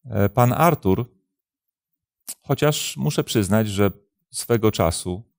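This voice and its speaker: A man in his fifties, a pastor hosting a programme, answering religious questions in a measured, deliberate way with hesitant pauses. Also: lowish voice, lively intonation, gravelly, a little mellow, no room sound, clean studio sound. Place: indoor studio, seated at a desk.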